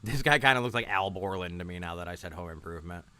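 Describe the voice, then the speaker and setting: A man's voice: low-pitched, animated, quick pace, bright, forceful tone. A man hosting a livestream, giving casual, rambling reaction commentary in a blunt, sarcastic, sweary manner. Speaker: livestream host.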